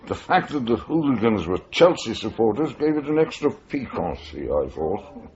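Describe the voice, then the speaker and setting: An actor playing a sly, self-satisfied British freelance journalist in a 1980s radio drama, evasive in manner. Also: male voice, mid-range voice, sing-song, normal speed, a little mellow, a little hiss or hum, natural voice. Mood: smug, amused. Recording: dull, band-limited radio broadcast.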